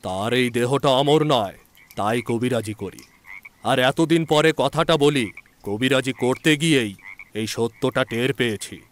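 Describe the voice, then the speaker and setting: Man playing a grave, slow-spoken old physician. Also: mid-range voice, close to an everyday voice. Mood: grave, touchy. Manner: solemn, deliberate storytelling. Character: grave, touchy old village physician recounting his past. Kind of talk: dramatized ghost story narration.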